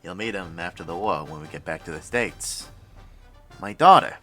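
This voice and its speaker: An actor playing an excitable, fatherly inventor in an audio drama, chatty and exclamatory, swinging between excitement and tenderness. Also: deep voice, normal speed, faint music behind. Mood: fond, wistful. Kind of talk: cinematic audio drama acting.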